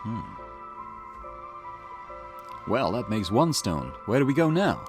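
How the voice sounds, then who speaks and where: A man's voice: mid-range voice, sing-song, slow, music in the background. A man hosting a let's play, mixing casual commentary with reading story text aloud.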